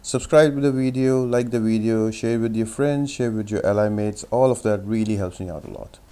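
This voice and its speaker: A man's voice: on the low side, normal speed, mellow, clean tone. A man giving an instructional, step-by-step gameplay tutorial commentary as the host of a game guide.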